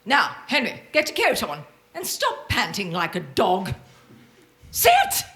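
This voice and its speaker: A woman's voice: mid-range voice, huge pitch swings, slow, fairly bright tone, heavily acted. An actress playing a bossy, snobbish, scheming mother with imperious melodrama in a live radio play. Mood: bossy, flustered. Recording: roomy live stage, clear noise.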